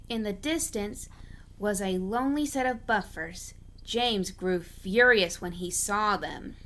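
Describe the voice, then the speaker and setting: A woman's voice: fairly high pitch, fairly bright tone. A woman reading a story aloud as an amateur narrator, often stumbling and rereading phrases.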